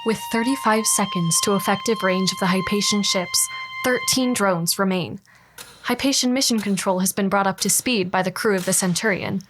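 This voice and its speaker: A woman's voice: medium pitch, normal speed, a bit bright. Female sci-fi narrator over music. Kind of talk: scripted sci-fi audio drama narration.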